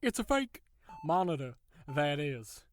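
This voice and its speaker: A man's voice: a little high, big pitch swings, measured pace. A male actor playing a sadistic, mockingly polite prison warden in an audio drama, theatrical and taunting. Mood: gloating.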